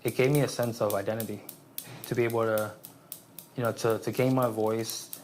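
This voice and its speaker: A man in his late twenties from Vietnam, raised in San Jose, speaking as an interview guest in a halting, reflective way with many restarts. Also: fairly low pitch, level tone, darkish tone, a little hiss or hum. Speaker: guest, aspiring therapist.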